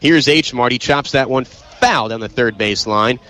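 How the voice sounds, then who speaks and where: A young American man calling live baseball play-by-play, emphatic and excitable on big plays. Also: medium pitch, unhurried. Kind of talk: live sports commentary.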